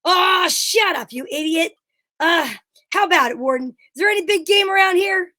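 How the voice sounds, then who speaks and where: A woman plays the straight man of an old radio comedy duo. The voice is extremely high, with a brisk, bossy and scolding manner. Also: pushed and bright. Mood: exasperated.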